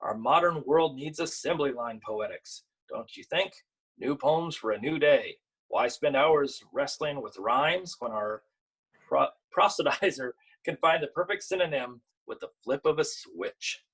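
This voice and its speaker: A man's voice: medium pitch, expressive, unhurried, a bit bright, slightly rough, exaggerated voice, rough recording. A man reading a storybook, voicing a brash, blustery old-timer factory foreman who pushes modern progress.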